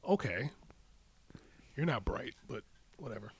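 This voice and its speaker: A man's voice: mid-range voice, sing-song, a little slow, slightly rough. A man in casual conversation, speaking bluntly and defensively as a podcast co-host. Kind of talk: casual chat. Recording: noisy amateur podcast audio.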